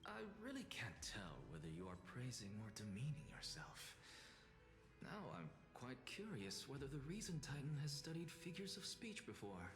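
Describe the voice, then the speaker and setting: A man's voice: mid-range voice, wildly swooping pitch, moderate pace. Haughty male game scholar, sarcastic, irritable, widely swinging intonation, long echoey reverb, music behind. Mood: dry, sardonic. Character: arrogant, irritable young male scholar with a sardonic bite. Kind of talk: scripted video game voice acting.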